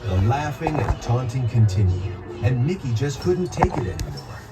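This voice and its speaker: Expressive man narrating a children's storybook. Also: mid-range voice, moderate pace. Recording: loud music behind narration. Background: music.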